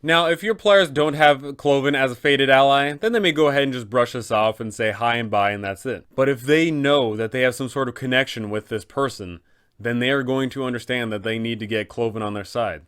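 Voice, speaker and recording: Man, game master host, medium pitch, casual explainer, conversational tabletop gaming guide. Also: a little fast, fairly bright tone, clear, resonant tone. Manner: conversational, rhetorical questions.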